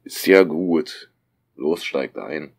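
A man playing a shifty, grumbling driver in a parody detective audio drama, halting and muttering. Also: very deep voice, animated, gravelly, background noise, full character voice. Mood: grudging. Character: grumbling male getaway driver, apologetic and shifty.

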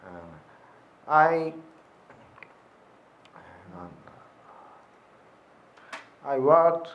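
A man introducing himself in halting English, searching for words, in a large lecture hall. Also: on the high side, sing-song, lo-fi sound. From Japan. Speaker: audience member introducing himself.

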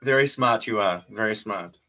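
A man's voice: on the low side, moderate pace, sharp tone. Laid-back young man with a New Zealand accent. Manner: laid-back, chuckles often, repeats phrases. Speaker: vlogger hosting a livestream. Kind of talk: casual livestream chat answering viewers. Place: small bare room indoors. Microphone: webcam at desk distance.

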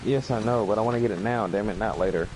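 A man's voice: on the low side, quick pace, background noise. A man hosting a game stream, swearing and griping casually as he plays. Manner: profane, easily frustrated. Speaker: streamer host. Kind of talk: casual gaming chat. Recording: rain game sound behind voice.

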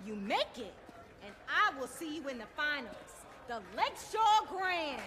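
An actress playing a bold, commanding crew leader who rallies racers with a challenging, projected delivery in a video game. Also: female voice, high voice, very animated, measured pace, a little hiss or hum, full character voice. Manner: forceful, challenging, projecting to a crowd. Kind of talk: video game voice acting, rousing speech.

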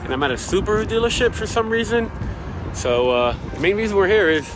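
A man's voice: high-pitched, big pitch swings, fairly quick, rough recording. A man in his thirties giving casual, laid-back road-trip updates to a handheld camera outdoors. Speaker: vlog host. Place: mostly outdoors at gas stations and parking lots. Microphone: phone or camera held at arm's length. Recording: music mixed under the voice.

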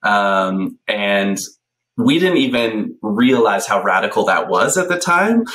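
Man, thirties, low pitch, casual storytelling, lively gestures, video call from a small bright room. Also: takes it slowly, rough recording. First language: English. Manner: animated, gesturing a lot, many ums. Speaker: podcast guest. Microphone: headphones on a video call.

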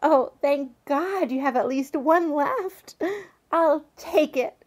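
A woman plays a trusting, gullible female customer in an audio drama. She speaks in a somewhat high voice, earnest and effusive, hanging on her psychic's every promise. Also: slow pace. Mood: grateful, gushing.

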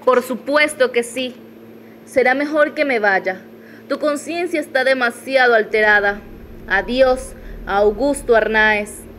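A woman's voice: on the high side, measured pace, a bit bright, slight background noise, low-quality recording. A woman playing a defiant, scheming widow in a radio soap opera, taunting and dramatic.

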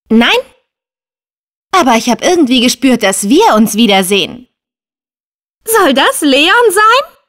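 A cheeky teenage ninja girl from a video game. The voice is high and swings widely in pitch, with perky, teasing energy. Lines come at an unhurried pace. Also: a bit bright, full character voice. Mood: friendly, casual.

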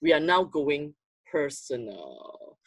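Low husky Malaysian woman hosting. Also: takes it slowly, no room sound. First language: Cantonese. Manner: animated, many "you know, like" fillers. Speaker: host, interviewer. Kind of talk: casual video-call chat. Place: living room, indoors.

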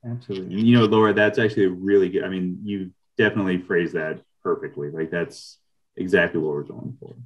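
A man in his forties speaks as a guest in a video call interview. His voice is quite deep, and he explains things in a casual, conversational way. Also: fairly even intonation, fast. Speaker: podcast writer, interview guest.

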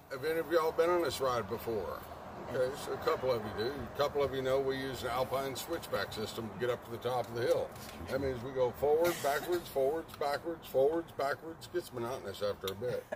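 A man operating a tourist train, giving folksy, joking commentary to the riders outdoors. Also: mid-range voice. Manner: folksy, wry, chatty with riders. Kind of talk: joking tour guide patter. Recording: distant voice over loud engine noise.

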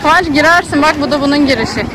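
A woman's voice: high voice, fast. A woman giving casual, chatty vlog commentary and encouraging children along. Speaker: family vlogger and mother narrating an outing. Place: children's festival at an event venue. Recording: loud music and crowd noise behind. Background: music, busy festival crowd.

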